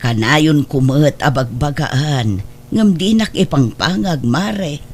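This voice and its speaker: An actress playing an exasperated older mother in a family radio drama, chiding and emphatic in a husky voice. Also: low-pitched, animated, slow, darkish tone, exaggerated voice. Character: older mother, exasperated with her grown daughter.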